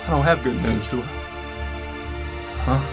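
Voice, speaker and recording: A man's voice: mid-range voice, normal speed, over music, natural voice. A man playing a defiant, foul-mouthed drug dealer with a short fuse in a screen drama, blunt and streetwise. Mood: agitated, angry. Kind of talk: naturalistic screen drama acting.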